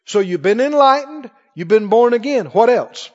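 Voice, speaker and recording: Male preacher, high-pitched voice, emphatic Bible teacher firing short questions at the congregation; long church reverb. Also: lively intonation, normal speed. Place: large church hall, before a congregation. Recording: muffled, echoing large hall.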